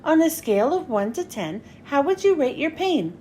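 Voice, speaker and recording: A woman's voice: high-pitched, sing-song, moderate pace, warm tone, slight background noise. A woman voicing a caring storybook healthcare robot with a formal, precise and helpful manner. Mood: helpful, courteous.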